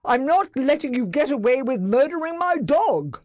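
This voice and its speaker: Woman doing a furious guy yelling his head off, lotsa pitch swings. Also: sing-song, moderate pace, no echo. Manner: shouting, bellowing. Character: enraged grieving man, dog owner.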